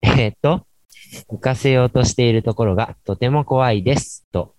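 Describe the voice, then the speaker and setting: A man's voice: mid-range voice, moderate pace, a little mellow, dry recording, lightly acted. A man playing a laid-back late-night radio host and dry straight man in an amateur radio drama. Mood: relaxed, wry.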